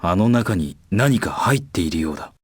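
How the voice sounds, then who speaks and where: A man voicing a cool, wary hedgehog anti-hero in a video game, with a husky voice. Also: sits low, expressive, fast, no room sound, studio quality. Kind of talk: video game hint voice lines.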